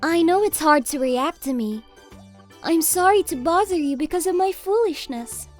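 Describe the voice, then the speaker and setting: A woman playing a kind, polite, eager high school girl in a manga video, humble and apologetic in manner. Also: lively intonation, normal speed, faint music behind. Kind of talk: manga video character dialogue.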